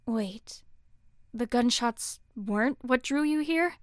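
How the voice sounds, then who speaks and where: An actress playing a brisk, candid female police officer in an audio drama. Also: a little high, dry recording, studio quality. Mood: questioning.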